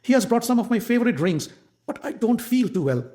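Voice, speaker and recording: A man's voice: extremely high pitch, very animated, brisk, dark tone, pitched above the performer's own voice. A man voicing a sly, scheming queen. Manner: sly and coaxing.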